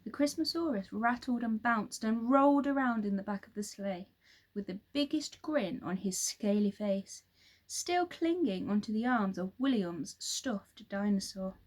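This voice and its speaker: A woman teacher reading a children's story aloud in a slow, expressive storytelling voice. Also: medium pitch.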